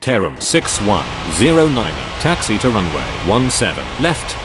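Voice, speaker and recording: A man's voice: fairly low pitch, big pitch swings, moderate pace. A man giving clipped, scripted aviation radio calls in an air traffic control game.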